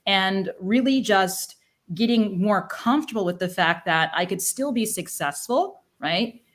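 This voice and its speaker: A woman, a leadership coach speaking as a guest on an interview podcast, talking quickly with flat emphasis and often tagging her sentences with "right?". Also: medium pitch.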